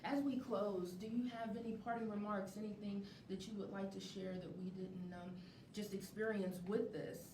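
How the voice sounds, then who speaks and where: A woman's voice: steady tone, moderate pace. A woman hosting a live community interview show before a studio audience. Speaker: talk show host. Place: indoor venue with studio audience. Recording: echoey room, distant mic, faint rumbling noise.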